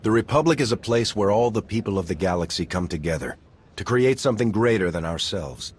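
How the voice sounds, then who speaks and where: Very deep-voiced, resolute military commander. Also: male voice, expressive, breathy edge. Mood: inspiring. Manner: earnest, resolute, measured. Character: steadfast gruff male military commander, veteran war hero. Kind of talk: video game cutscene acting.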